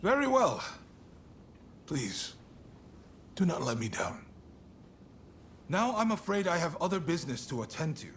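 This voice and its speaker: An actor playing a shrewd, courteous shogunate statesman in a video game, speaking in a measured, formal, authoritative way. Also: on the high side, big pitch swings, a bit bright, slight background noise, exaggerated voice. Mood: composed authority. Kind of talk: video game cutscene acting.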